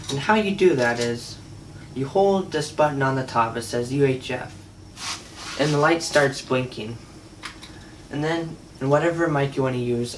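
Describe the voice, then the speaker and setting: A man's voice: mid-range voice, slight background noise. Casual man reviewing gear, distant mic. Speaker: product reviewer. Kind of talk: casual unscripted product demo. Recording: distant mic, faint music at times.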